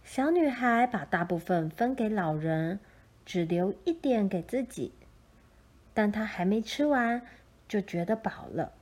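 A woman narrates a children's storybook at a very unhurried pace. Her tone is soft and mellow, with a middle pitch. She reads in a gentle, expressive storytelling way.

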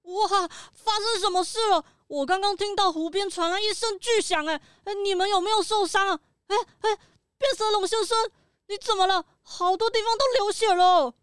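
Woman voicing anxious cartoon innkeeper, extremely high voice, fretful, children's audio drama, music behind. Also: normal speed, no echo, clean studio sound. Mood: alarmed. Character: anxious, fretful mountain lodge keeper. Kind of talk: children's detective radio drama.

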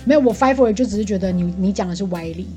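A woman with a Taiwanese accent in casual, gossipy chat, venting about things in an animated way and mimicking the people she quotes. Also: medium pitch, very fast, faint music behind. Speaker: podcast guest venting about work.

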